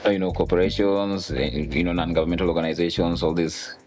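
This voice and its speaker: A man's voice: sits low, steady tone, quick pace, darkish tone, soft background music. A man from Kenya co-hosting a podcast, chatting about news in a rambling way with many restarts. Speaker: podcast co-host. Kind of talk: casual podcast talk and news chat.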